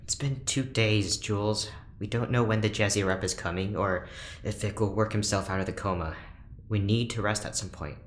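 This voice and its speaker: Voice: deep voice, normal speed, close to an everyday voice. An actor playing a reserved, awkward ship's doctor in a sci-fi audio drama, speaking hesitantly and with stiff formality. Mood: weary, worried. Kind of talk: audio drama acting, naturalistic dialogue. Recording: roomy echo, faint background noise.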